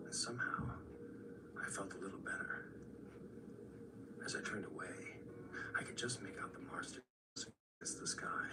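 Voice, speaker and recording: An actor playing a brooding writer who narrates a radio horror drama in a hushed, breathy, somber and reflective way. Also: male voice, deep voice, recorded from a distance, rough recording. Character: brooding adult male writer recounting horrors.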